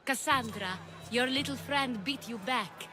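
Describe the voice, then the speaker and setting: Woman voicing a higher-pitched, guarded, shrewd lady. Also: measured pace, background noise, close to an everyday voice. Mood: concerned, relieved. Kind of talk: video game voice acting.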